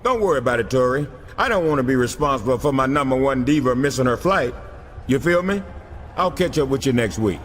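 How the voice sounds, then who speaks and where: A man's voice: mid-range voice, expressive, a little fast, slight background noise, close to an everyday voice. Man playing a jive-talking, flirty wrestling boss. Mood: courteous, smooth. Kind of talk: video game cutscene acting.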